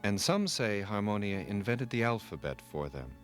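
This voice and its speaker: A man narrating a scripted myth for children in a formal, measured, dramatic storytelling manner. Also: lowish voice, big pitch swings, soft background music.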